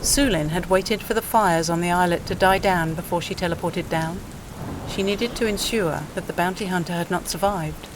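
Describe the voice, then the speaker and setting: A woman's voice: on the low side, normal speed, background noise. A woman reading scripted dramatic narration for an audio play.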